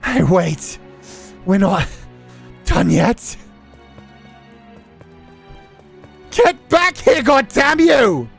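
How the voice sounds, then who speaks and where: A man's voice: very high voice, big pitch swings, slow, vocal fry, breathy edge, faint music behind, pitched above the performer's own voice, exaggerated voice. Man acting hot-headed young rebel swordsman, defiant. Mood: furious. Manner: defiant, swearing, intense.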